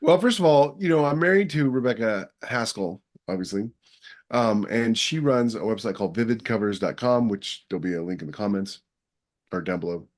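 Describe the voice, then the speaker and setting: A man's voice: lowish voice, a little fast. A man co-hosting a podcast, explaining his points in an emphatic, assertive way. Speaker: podcast co-host. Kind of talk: casual podcast chat.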